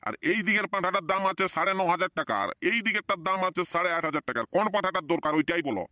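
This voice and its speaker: Old market hawker played by a man, pushy goat seller, Purulia Bengali accent, sharp and rapid patter. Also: sits high, dry recording. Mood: hawking. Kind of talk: comedy cartoon dialogue.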